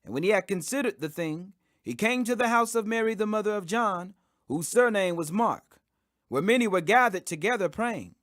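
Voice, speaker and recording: A man's voice: high voice, expressive, moderate pace, a bit bright, no room sound, clean studio sound. A man reading scripture as an audiobook narration, in a solemn, declamatory, phrase-by-phrase delivery. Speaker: Bible reader.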